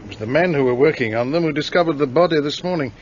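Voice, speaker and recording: An actor playing a polite French police commissary who explains the evidence. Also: male voice, fairly high pitch, expressive, quick pace, highs cut off, a little hiss or hum, natural voice. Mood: explaining. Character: Monsieur Bex, helpful French police commissary. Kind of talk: radio drama acting.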